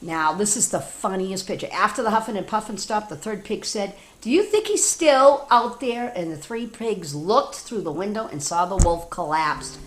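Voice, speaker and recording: Animated American woman reading to children. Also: medium pitch, moderate pace, few pauses, a little hiss or hum. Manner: animated, informal, talks directly to young children. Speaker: teacher reading stories to children. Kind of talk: read-aloud storytelling with chatty asides.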